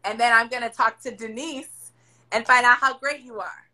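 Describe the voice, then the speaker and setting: A woman hosting a podcast, with a raspy voice, talking loudly and emphatically in casual chat. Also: fairly high pitch, fairly even intonation, moderate pace. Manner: loud, emphatic, encouraging. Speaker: podcast host. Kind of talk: casual chat over a video call.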